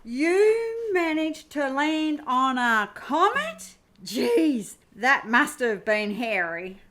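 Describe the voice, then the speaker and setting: A woman's voice: high-pitched, expressive, a little slow, bright, forceful tone, clear, resonant tone, exaggerated voice. A woman playing a blunt, brash spaceship navigator in an amateur sci-fi radio play. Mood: amazed.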